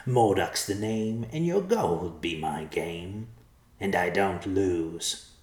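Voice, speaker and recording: A man's voice: sits low, lively intonation, slow, darkish tone, reverberant. A man voicing a greedy, sly little bearded bandit in an audiobook-style story, with folksy rustic grammar and a cocky, wheedling manner. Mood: menacing, gloating.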